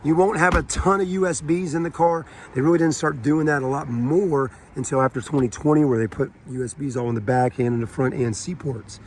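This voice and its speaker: A man with a somewhat high, raspy voice from a sore throat walks around a car, talking in a loose, chatty way full of fillers and asides. He sounds like a salesman showing a car on an outdoor lot, with a faint hum of ambient noise behind him. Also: steady tone, fairly quick, hardly any pauses. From South Carolina. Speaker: car salesman giving a walkaround review. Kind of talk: casual car walkaround tour.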